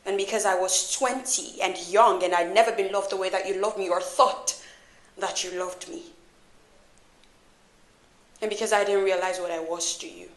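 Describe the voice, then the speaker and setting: An actress plays a hurt and scornful young woman in a dramatic film monologue. Her voice sits fairly high and rings in an echoey room. She accuses her lover with raw, profane intensity. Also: unhurried, a bit bright. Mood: quiet hurt. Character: wounded, furious young woman confronting her filmmaker lover.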